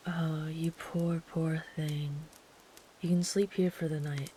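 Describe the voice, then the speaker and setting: A woman voicing a big, gentle, protective traveler camping in the woods, speaking softly and soothingly, sometimes in a whisper, as ASMR comfort roleplay. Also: low voice, slow, a little hiss or hum. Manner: soft, soothing, calm, at times whispered.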